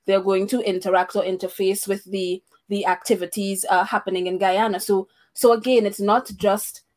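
A woman from Trinidad and Tobago hosting a discussion, hesitant, with many pauses and restarts. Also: mid-range voice, little pitch movement, darkish tone. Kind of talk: casual discussion.

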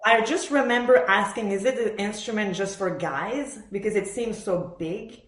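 Female interview guest, mid-pitched, from Montreal, conversational storyteller, roomy echoing sound. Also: moderate pace, a bit bright. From born in Argentina, raised in Montreal, Canada. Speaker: podcast guest, professional orchestral bassoonist. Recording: echoey room sound.

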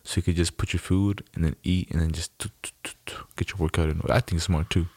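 A man with a very low voice shoots the breeze on a podcast, slangy and laid-back, laughing easily as he spins a story. The sound is dry and close, with no room around it. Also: steady tone, quick pace, darkish tone, no echo. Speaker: podcast co-host. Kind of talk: casual chat.

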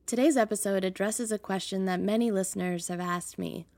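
A woman's voice: mid-range voice, fairly even intonation, fairly quick, darkish tone, no echo. A woman raised in the United States, whose first language was Russian, hosting and narrating a podcast in a conversational, reflective way. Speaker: podcast host.

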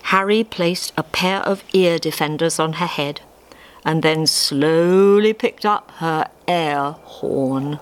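A woman narrating a scripted story expressively, recorded live in a theatre. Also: deep voice. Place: small theatre stage before an audience. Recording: live theatre recording with faint background noise.

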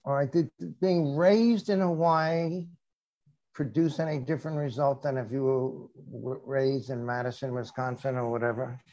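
An older man hosting a podcast, asking questions slowly and adding dry jokes. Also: mid-range voice, fairly even intonation, dark tone, dull audio. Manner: joking, unhurried questioning. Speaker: podcast host, interviewer. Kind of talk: interview questions.

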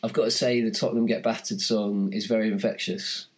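A man's voice: lowish voice, little pitch movement, a little fast. A man co-hosting a casual podcast, recounting a day out in a rambling way with dry humor. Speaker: podcast co-host. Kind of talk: casual chat.

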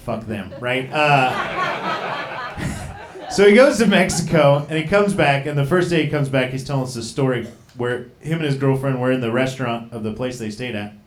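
A man's voice: mid-range voice, expressive, normal speed, roomy sound, a little hiss or hum. A man in his thirties doing a stand-up comedy set, rambling and profane, poking fun at himself. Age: 30s. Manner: profane, rambling, self-deprecating. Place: comedy club stage.

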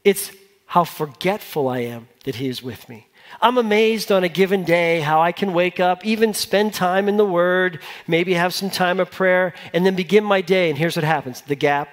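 High-voiced male pastor in a reverberant hall. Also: normal speed. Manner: informal, repeats phrases for emphasis, asks listeners questions. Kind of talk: conversational sermon. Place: large church hall with a congregation.

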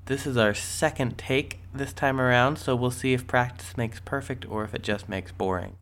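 A man's voice: medium pitch, fairly even intonation, normal speed, a bit bright, a little hiss or hum. A man with a cold, sniffly, co-hosting a casual podcast and rambling through the news with many ums and restarts. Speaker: podcast co-host.

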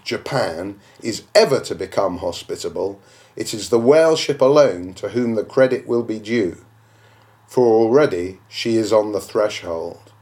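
A man's voice: medium pitch, a little mellow. A man reading a classic novel aloud as dramatic narration, in a declamatory, oratorical manner. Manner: declamatory, oratorical, with rhetorical questions. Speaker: audiobook reader.

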